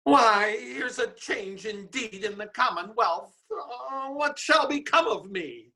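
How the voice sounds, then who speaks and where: Slow, bright-voiced bawdy madam played by a woman. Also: on the high side, lively intonation, heavily acted. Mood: grumbling. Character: worldly, grumbling brothel madam. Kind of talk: staged reading of Shakespeare comedy.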